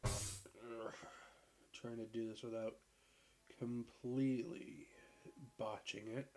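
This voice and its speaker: A man with a naturally deep voice, roughened by a cold, talks through a puzzle game in a loose, rambling way. He clears his throat now and then. A faint hiss and some clicks sit in the background. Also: slow pace. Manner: relaxed, rambling, clears throat and laughs at himself. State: sick, hoarse from a cold. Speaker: gaming video creator. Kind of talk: casual gameplay commentary.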